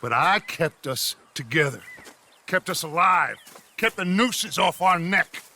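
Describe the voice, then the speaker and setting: An actor playing a charismatic, commanding middle-aged outlaw leader in a video game, with an American accent and a theatrical, speechifying manner. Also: male voice, sits high, animated, a little slow, bright, forceful tone, slight background noise, heavily acted. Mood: grand, reassuring. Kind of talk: dramatic video game acting, grand oratory.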